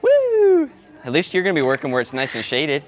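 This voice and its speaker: A man's voice: fairly high pitch, huge pitch swings, fairly quick. A man working as a live sound engineer, giving casual running commentary as he works, muttering to himself and exclaiming. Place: event venue during setup. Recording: noisy on-location vlog audio with clatter.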